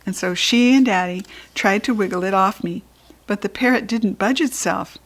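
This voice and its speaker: A woman's voice: medium pitch, normal speed. A woman with an American accent narrating a children's book expressively as a storybook reader.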